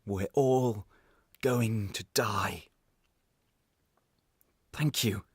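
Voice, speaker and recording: A man playing a wronged, quietly defiant ex-prisoner in a radio play monologue, confessional, wry and halting. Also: lowish voice, slightly rough, no echo, clean studio sound, heavily acted. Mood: defiant, aggrieved.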